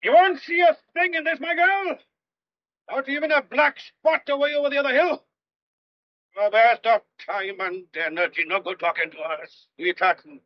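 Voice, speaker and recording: An actor playing a gruff but kindly, exasperated police sergeant in a thriller audio drama, with a blunt, coaxing, colloquial way of talking. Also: male voice, very high-pitched, moderate pace, highs cut off. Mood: straining, urgent, coaxing. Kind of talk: old-fashioned thriller audio drama acting.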